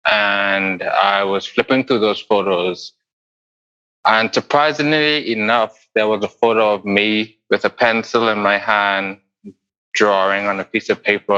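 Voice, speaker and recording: A man in his forties from the Bahamas answers interview questions in a thoughtful, unhurried way. His voice is low but bright and forceful. The sound is a bit dull and lo-fi. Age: 40s. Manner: thoughtful, unhurried, with pauses and restarts. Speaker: guest artist.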